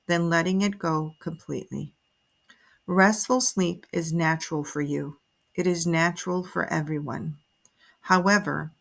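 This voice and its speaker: Low-pitched woman guiding a sleep meditation. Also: vocal fry. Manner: soothing, measured, instructive delivery. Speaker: meditation guide. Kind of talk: guided sleep meditation narration. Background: soft music.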